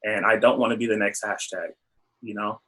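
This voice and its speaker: Man, low voice, flat delivery, halting, frequent restarts. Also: slightly rough. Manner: halting, many restarts and fillers, measured. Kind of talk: earnest discussion.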